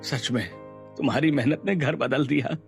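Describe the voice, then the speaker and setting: A man playing a gentle, supportive husband in a cartoon. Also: medium pitch, normal speed, vocal fry, soft background music, voice pushed down, lightly acted. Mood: moved. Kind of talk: scripted cartoon dialogue.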